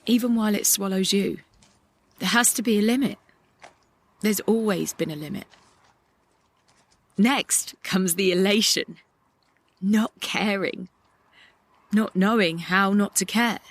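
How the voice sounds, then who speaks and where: A woman's voice: medium pitch, a little slow, long pauses. A woman playing a weary young narrator, delivering a lyrical, reflective monologue in an audio play. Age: young adult.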